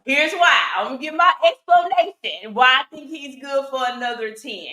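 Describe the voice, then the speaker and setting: A woman co-hosting a reality TV opinion panel in a casual chat, animated and opinionated, with lots of exclamations. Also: sits high, normal speed. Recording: echoey room.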